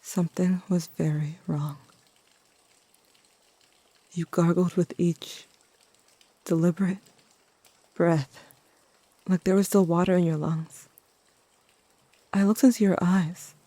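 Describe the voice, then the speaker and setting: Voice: mid-range voice, steady tone, takes it slowly, long pauses, dark tone, dry recording. A performer playing a grieving teenager who narrates events intimately, addressing a sibling, in an audio drama. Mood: uneasy, grieving.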